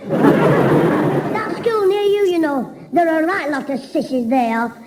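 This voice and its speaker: An actor playing a cheeky, mischievous schoolboy in a 1960s radio sitcom, chirpy and giggling. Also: male voice, very high voice, animated, a little slow, darkish tone, poor audio. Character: cheeky mischievous schoolboy, small, always joking. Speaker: title character of a radio comedy.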